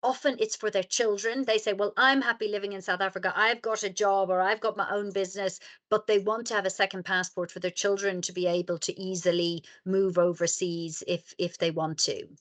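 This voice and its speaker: A woman hosting a webinar, moderating in a polite, measured way. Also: medium pitch, fairly even intonation, fairly bright tone. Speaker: webinar host.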